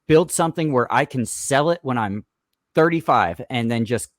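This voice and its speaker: A man's voice: medium pitch, lively intonation, normal speed, no room sound. Male podcast guest, conversational business advisor, dry recording, talks through hypothetical questions.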